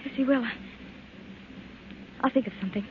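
A woman's voice: on the high side, normal speed, natural voice. An actress playing an anxious, determined wife and mother in an old-time radio melodrama. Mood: distraught, resolute. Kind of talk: 1940s radio melodrama acting. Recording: dull, hissy old radio recording.

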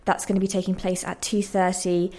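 Woman playwright on a radio chat, lowish voice, earnest and kinda reflective. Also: little pitch movement, a little fast, mellow. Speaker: playwright, interview guest. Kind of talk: conversational radio interview.

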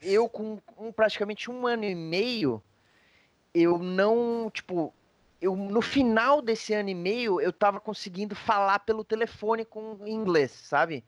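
A Brazilian man with a fairly high voice chats casually. He talks in a slangy, rambling way as he shares his own experiences. The sound is dry and a bit dull. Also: measured pace, dry recording. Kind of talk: casual conversation, personal anecdotes.

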